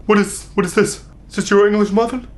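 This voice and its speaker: A man's voice: high voice, steady tone, quick pace, close to an everyday voice. A man voicing a nosy, confrontational upstairs neighbor in a comic story, probing and accusatory. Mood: suspicious, probing.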